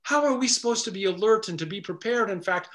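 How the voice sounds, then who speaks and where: A middle-aged man, a pastor, preaching an earnest, measured sermon indoors before a stone wall. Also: high voice, a bit bright.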